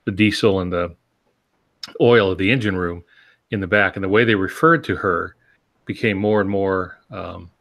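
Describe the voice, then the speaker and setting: A man co-hosting a podcast, explaining by example in a casual, halting, measured way. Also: sits low, gravelly, fairly bright tone. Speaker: podcast co-host.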